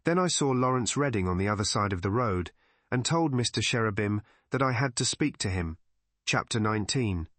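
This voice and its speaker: A man's voice: fairly low pitch, lively intonation, brisk, a bit bright, dry recording. Man reading a story aloud, dry close sound. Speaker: audiobook narrator. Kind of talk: scripted story narration.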